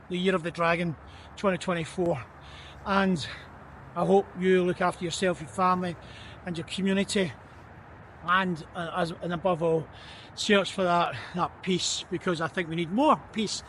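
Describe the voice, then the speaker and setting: A man's voice: sits high, a little hiss or hum. A man talking thoughtfully as he walks outside at night, often repeating words as he gathers his thoughts. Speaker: vlogger. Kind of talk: reflective walking vlog. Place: outdoors on a city street at night.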